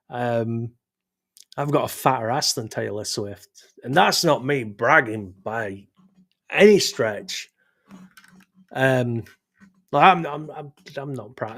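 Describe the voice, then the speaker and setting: A man hosting a live stream with a sore throat, chatting loosely with his viewers in a rambling, self-deprecating way and stumbling over his words. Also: mid-range voice. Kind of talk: casual chat reading live chat.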